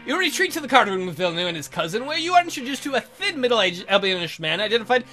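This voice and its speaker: A man's voice: sits high, sing-song, fast, bright, forceful tone, soft background music. A man hosting a let's play, reading a text adventure aloud with goofy, crude asides.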